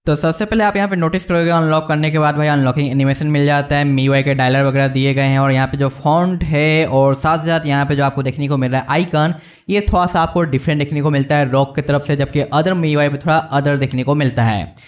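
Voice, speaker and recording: A man's voice: a little high, fairly even intonation, fairly quick. An Indian man narrating a tech review walkthrough as channel host, explaining things informally and speaking straight to viewers. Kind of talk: casual screen-walkthrough narration of a phone software review.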